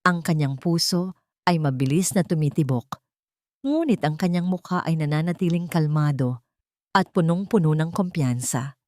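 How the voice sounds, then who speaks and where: A woman with a Filipino accent reads a scripted dramatic story in a measured, solemn storytelling manner. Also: sits low, darkish tone, no room sound, studio quality. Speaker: audio drama narrator.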